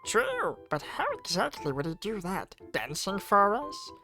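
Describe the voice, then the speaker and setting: A woman's voice: medium pitch, very animated, measured pace, over music. A woman playing a bossy, exasperated student girl in a visual novel, blunt and scolding. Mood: teasing. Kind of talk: visual novel character dialogue.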